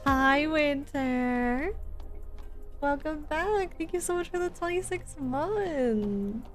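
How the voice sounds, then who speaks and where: Higher-pitched woman chatting on a game stream. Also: expressive, takes it slowly. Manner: chatty, addresses viewers by name. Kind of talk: casual livestream gameplay chat. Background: video game music.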